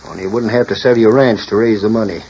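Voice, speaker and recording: An actor playing a proud, bitter rancher with a blunt, curt way of talking in a 1940s radio western. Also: male voice, fairly low pitch, fairly even intonation, fast, a little mellow, natural voice. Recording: dull, hissy 1940s radio recording.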